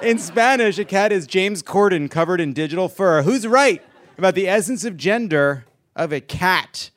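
A man hosting a comedy talk show with guests, playful and laughing through quick banter. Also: high-pitched, lively intonation. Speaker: host.

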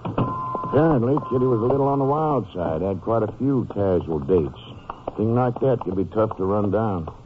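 An actor playing a sober, folksy Texas sheriff, laconic and matter-of-fact, in a 1950s radio drama. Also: male voice, on the low side, lively intonation, normal speed, lightly acted. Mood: musing. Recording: muffled, noisy vintage radio recording.

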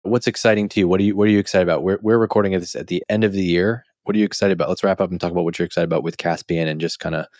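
American male podcast host, lowish dry voice. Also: steady tone, rapid-fire, hardly any pauses, a little mellow, dry recording. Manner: informal, chummy, loosely phrased. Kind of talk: casual interview chat.

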